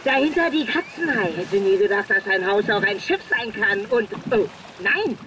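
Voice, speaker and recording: A woman playing an excitable race commentator at a pirate boat race in a children's radio play, breathless and dramatic. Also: mid-range voice, normal speed, slight background noise, close to an everyday voice, poor audio. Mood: excited.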